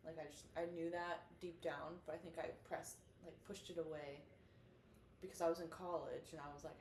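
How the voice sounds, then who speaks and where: A young woman as a podcast guest, giggly and relaxed, talking in halting phrases. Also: low voice. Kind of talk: casual chat. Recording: echoey room with faint noise.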